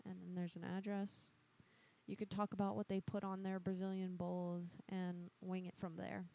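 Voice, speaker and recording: A woman's voice: lowish voice, monotone, moderate pace, gravelly, warm tone. A woman co-hosting a live prank call show in casual banter, blunt and crude.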